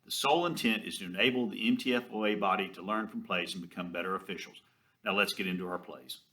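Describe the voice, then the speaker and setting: Bright, forceful man presenting. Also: on the low side, fast talker. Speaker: training film presenter. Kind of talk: scripted introductory narration.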